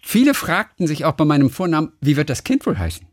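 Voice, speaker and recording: A man's voice: on the high side, very animated, fast talker. A middle-aged man co-hosting a radio show, chatting casually and rambling as he searches for words. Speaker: radio host. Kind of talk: casual on-air chat.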